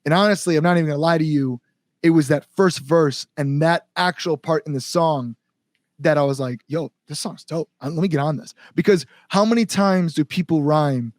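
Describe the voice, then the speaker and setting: A man's voice: fairly high pitch, moderate pace, no room sound. A man chatting casually as an interview guest, a musician talking enthusiastically in loose, conversational phrases. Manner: enthusiastic, frequent "like" and "you know". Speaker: guest musician, band singer.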